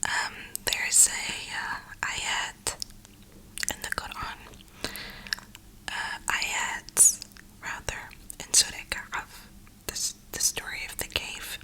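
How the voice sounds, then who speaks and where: Slow, whispering woman in ASMR style. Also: sharp tone, low-quality recording. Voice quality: breathy, unvoiced whisper. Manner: reflective, unhurried, with drawn-out pauses. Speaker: ASMR video creator.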